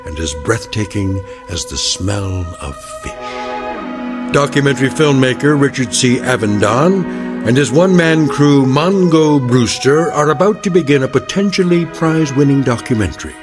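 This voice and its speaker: An actor playing a solemn narrator of an eerie anthology drama, speaking in a measured, ominous way. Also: male voice, mid-range voice, animated, lightly acted. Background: music bed.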